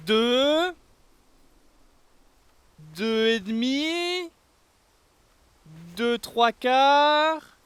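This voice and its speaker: A man's voice: extremely high pitch, drawn-out words, long pauses, fairly bright tone, smooth, no room sound, heavily acted. A man playing a bossy but protective and reasonable young group leader in an audio drama, speaking firmly like a parent. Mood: stern, exasperated. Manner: firm, parental, takes charge. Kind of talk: audio fiction acting.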